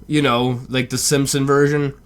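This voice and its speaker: A man's voice: mid-range voice, level tone, moderate pace, clear, resonant tone. A man hosting a reaction video, chatting casually in a rambling way with lots of "like". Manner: rambling, with frequent "like".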